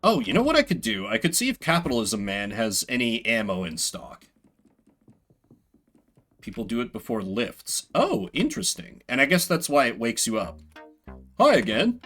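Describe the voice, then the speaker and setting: A man's voice: mid-range voice, wildly swooping pitch, moderate pace, a bit bright. A man doing live game commentary in a playful, sweary way, reading bits of text aloud and chatting with viewers. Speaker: game streamer. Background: video game music.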